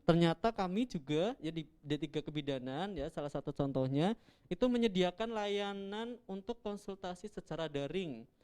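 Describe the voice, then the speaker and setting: Young guy hosting a campus talk show, smooth voice, keeps cracking jokes. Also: a little high, expressive, moderate pace, darkish tone. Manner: chatty, joking, laughs often.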